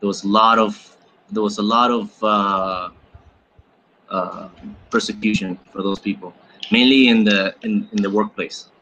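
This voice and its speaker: Bright-voiced young man from Venezuela. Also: on the low side, steady tone, a little slow, a little hiss or hum, poor audio. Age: 20s. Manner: explaining at length, frequent fillers and repeated words. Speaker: guest. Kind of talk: casual interview.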